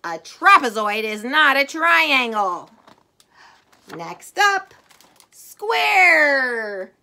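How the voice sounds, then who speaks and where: Woman as a bossy, bright-voiced triangle director. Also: high-pitched, sing-song, extremely slow, full character voice.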